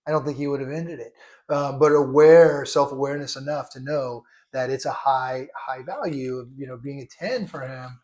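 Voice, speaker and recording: A man's voice: medium pitch, moderate pace, a little mellow. A man co-hosting a casual chat, earnestly clarifying points and often restarting his sentences. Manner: earnest, stresses being clear, restarts often.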